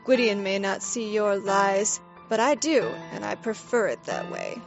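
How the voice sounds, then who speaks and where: A woman playing a shrewd, weary noblewoman of a scheming fairy court, speaking in a formal, measured, pointed way in an audio drama. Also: mid-range voice, animated. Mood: pointed, assured. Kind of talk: scripted audio drama acting. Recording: slightly dull lo-fi recording. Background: faint music.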